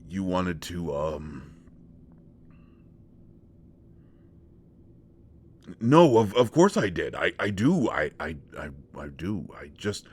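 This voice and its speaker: A man's voice: low-pitched, big pitch swings, measured pace, darkish tone, slightly rough, exaggerated voice. A man playing a shy, awkward young shapeshifter in an intimate roleplay audio drama, stammering and hesitant as he talks to a close friend. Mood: flustered, evasive.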